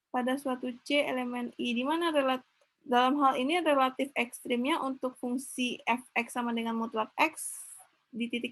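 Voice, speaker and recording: A woman explains a math proof in a relaxed lecture, often pausing with fillers and correcting herself mid-sentence. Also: high voice. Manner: thinks aloud, frequent fillers and self-corrections. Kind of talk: informal lecture with screen notes.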